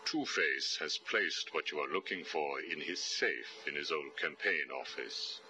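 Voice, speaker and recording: A very deep, coldly menacing villain. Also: male voice, sharp tone, full character voice, low-quality recording. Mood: cold, smug. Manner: measured, clinical, condescending. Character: cold, arrogant, menacing psychiatrist villain, older man. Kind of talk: video game voice acting.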